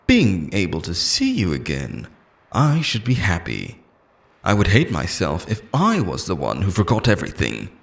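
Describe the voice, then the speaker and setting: A man's voice: sits low, wildly swooping pitch, normal speed, vocal fry, full character voice. A man playing an obsessive immortal lover in an audio roleplay, theatrical and intense, shifting between pleading tenderness and menace. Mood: unhinged, tender menace. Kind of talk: dramatic ASMR-style audio roleplay monologue.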